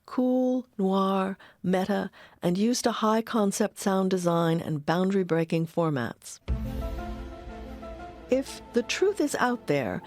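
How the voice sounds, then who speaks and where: A woman's voice: medium pitch, expressive, takes it slowly, a little mellow. A woman reading scripted documentary narration as its narrator. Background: music.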